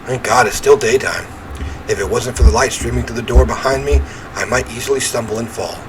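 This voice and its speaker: A man reading a first-person horror game story aloud in an expressive, theatrical way. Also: medium pitch, a little fast. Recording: clear ambient noise behind voice.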